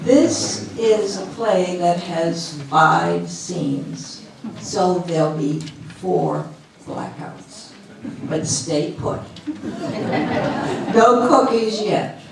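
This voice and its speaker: A woman narrator introduces a stage play at a slow, measured pace. She is far from the mic in a very echoey room with noticeable noise. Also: on the low side, big pitch swings, crowd noise.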